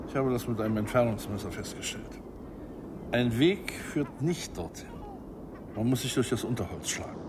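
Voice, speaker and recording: An actor playing a cool, methodical operative in a German radio drama, giving a briefing in precise, clipped, matter-of-fact phrases. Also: male voice, mid-range voice, noisy background, close to an everyday voice.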